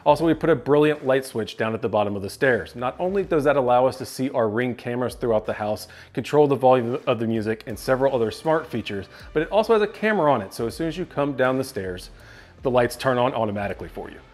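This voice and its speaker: A man's voice: mid-range voice, lively intonation, quick pace, darkish tone. A man in his thirties giving an upbeat walkthrough of his home basement, talking to the camera with a clip-on mic. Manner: upbeat, gestures with his hands while walking and talking to camera. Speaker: tech reviewer giving a home tour. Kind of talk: casual home tour walkthrough. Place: home basement rooms, indoors. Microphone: clip-on lapel mic.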